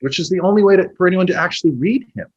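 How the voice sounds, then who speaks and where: A man playing a probing, cynical former literary agent in a naturalistic two-man play, pointed and halting, with a husky voice. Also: sits high, animated, a little mellow, dull audio. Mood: defensive, earnest. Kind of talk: naturalistic stage dialogue.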